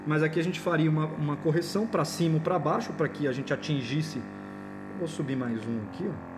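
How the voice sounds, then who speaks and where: A man's voice: a little high, brisk, over loud music. A man with a Brazilian accent gives an informal, step-by-step equipment demonstration in an explanatory way. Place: indoor office or lab.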